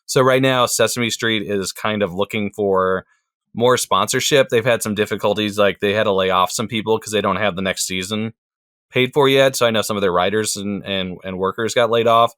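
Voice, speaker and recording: A man's voice: lowish voice, fairly even intonation, brisk, fairly bright tone, no room sound, clean studio sound. A man talking as a podcast guest, easygoing and thoughtful, with frequent fillers. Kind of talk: casual chat.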